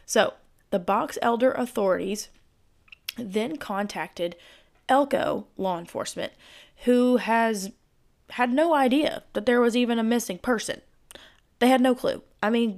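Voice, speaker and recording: Hoarse woman telling a true crime story. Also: on the high side, a little mellow. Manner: chatty, speculative asides. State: hoarse, voice giving out. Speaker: true crime podcast host. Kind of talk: casual storytelling narration.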